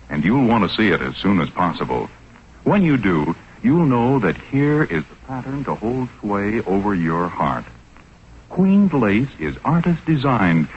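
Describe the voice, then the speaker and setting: A man's voice: mid-range voice, slight background noise. A man reading a formal 1940s radio advertisement with grand, polished salesmanship. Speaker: commercial announcer. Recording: dull 1940s radio recording with music.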